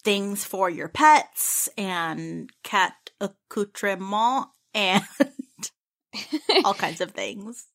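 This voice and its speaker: A woman's voice: medium pitch, lively intonation, very slow, a bit bright. A woman co-hosting a book podcast in casual chat, easygoing and agreeable with dry humor. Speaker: podcast co-host.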